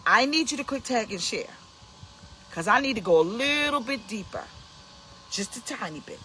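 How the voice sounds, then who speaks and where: A woman's voice: fairly high pitch, lively intonation, moderate pace, bright, forceful tone, a little hiss or hum. A woman in her fifties hosting a casual motivational talk, emphatic and preachy, repeating her key points. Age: 50s. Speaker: host, pastor.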